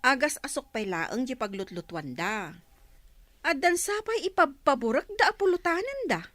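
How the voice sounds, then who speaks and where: A woman's voice: high voice, very animated, moderate pace, fairly bright tone, no room sound. A woman playing a chatty, sympathetic neighbour wife with a Filipino accent, gossiping in a radio drama. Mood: gossipy.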